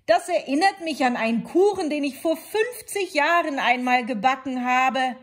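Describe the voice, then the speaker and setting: A woman's voice: high-pitched, normal speed, voice pushed up, close to an everyday voice. Woman doing a chatty old grandmother. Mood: fond. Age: older.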